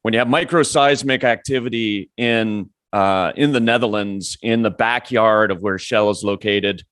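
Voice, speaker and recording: A man's voice: on the low side, normal speed, fairly bright tone, dry recording. A man hosting a podcast, talking conversationally and asking follow-up questions. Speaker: podcast host. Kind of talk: interview questions.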